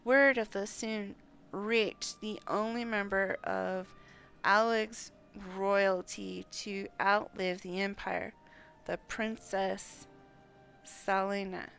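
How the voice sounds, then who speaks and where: A woman's voice: medium pitch, steady tone, slow, gravelly, fairly bright tone, clear, resonant tone, soft background music. A woman livestreaming a game, chatting and reading dialogue aloud, sometimes stumbling over names. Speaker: game streamer.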